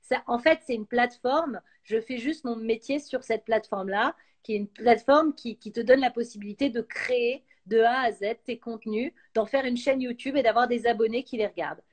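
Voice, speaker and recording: A woman's voice: a little high, fairly quick, few pauses. A woman, chatting casually and enthusiastically as a guest on a live stream. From France. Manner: lively, enthusiastic, many fillers. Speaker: actress and YouTuber, guest.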